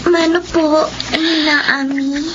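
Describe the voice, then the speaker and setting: High-voiced little girl in radio drama. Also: flat delivery, slow. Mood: polite, childlike. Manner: polite, childlike. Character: young schoolgirl, polite, curious, then terrified. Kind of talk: radio horror drama acting. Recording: dull lo-fi audio with loud noise.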